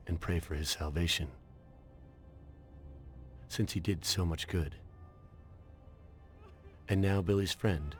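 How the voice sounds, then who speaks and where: Solemn, low-voiced priest. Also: male voice. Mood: solemn, mournful. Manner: solemn, preaching. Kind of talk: dramatic video game voice acting.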